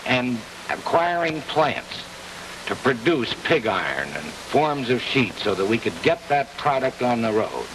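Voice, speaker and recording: An American man answering as an executive guest in a 1950s television interview, in earnest, measured short phrases. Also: mid-range voice, big pitch swings. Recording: distant, noisy 1950s television broadcast.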